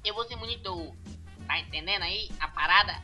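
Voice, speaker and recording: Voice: mid-range voice, a little fast, sharp tone, faint music behind. An amateur dubber with a Brazilian accent doing a comic redub of a sitcom in brash, slangy, mocking street talk.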